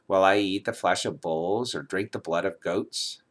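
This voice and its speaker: Male scripture reader, lower-pitched voice, solemn, declamatory, emphatic, measured phrases. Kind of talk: solemn dramatic Bible reading aloud.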